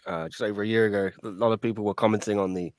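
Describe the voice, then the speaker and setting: A man hosting a podcast, relaxed and conversational as he reads out listener comments. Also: on the low side, rapid-fire, dry recording, studio quality. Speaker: podcast host. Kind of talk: casual chat, reading listener comments.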